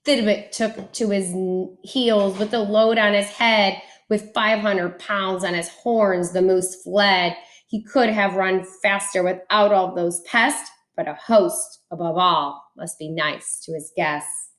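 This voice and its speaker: A woman reads a rhyming picture book to children at a slow pace. Her delivery is expressive and dramatic, with each line placed deliberately in a mid-pitched voice. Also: echoey room. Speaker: teacher reading a picture book aloud. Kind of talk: expressive children's storybook read-aloud.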